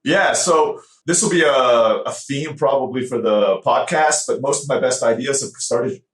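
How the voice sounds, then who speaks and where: A man born in the Philippines and raised in Turkey, a guest on a podcast, telling his story in casual, animated interview talk. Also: medium pitch, normal speed. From born in the Philippines, grew up in Turkey. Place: home office in Mexico. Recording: echoey room.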